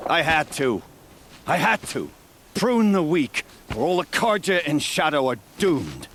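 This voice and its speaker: High-pitched, pompous villain priest. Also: male voice, big pitch swings, slight background noise. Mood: desperate pleading. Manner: theatrical, pompous, drawn-out delivery. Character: haughty, cruel, scheming male villain priest, desperate when cornered. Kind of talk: dramatic video game acting. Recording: loud music behind the voice.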